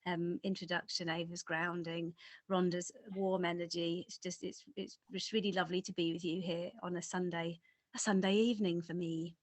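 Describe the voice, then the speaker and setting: A woman academic on a panel, speaking from home over a video call, politely thanking the hosts in a warm, appreciative way. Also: fairly low pitch, level tone, normal speed, no echo. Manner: warm, appreciative, lightly laughing.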